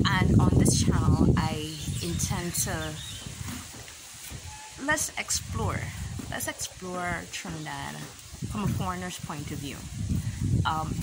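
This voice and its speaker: A young woman with a Barbadian accent chatting casually to a phone camera outdoors, relaxed and rambling, with many pauses and fillers. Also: low-pitched. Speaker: vlogger. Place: outdoors in a rural yard. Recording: loud outdoor noise behind.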